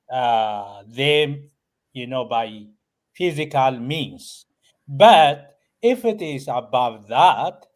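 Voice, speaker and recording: A man from Ethiopia, a guest author and professor, answering interview questions with many pauses, fillers and restarts. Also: on the high side, darkish tone.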